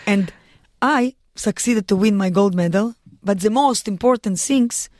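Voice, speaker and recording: A woman's voice: medium pitch, darkish tone, dry recording. Earnest Russian-accented woman, dry studio. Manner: earnest, halting non-native English with restarts. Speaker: guest, mountaineer and lawyer. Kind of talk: radio studio interview. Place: radio studio.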